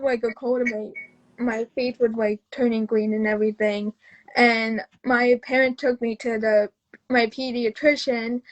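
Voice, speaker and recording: A woman in her twenties, a podcast guest telling her own story casually, often halting and repeating phrases. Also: high voice, rough recording. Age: 20s.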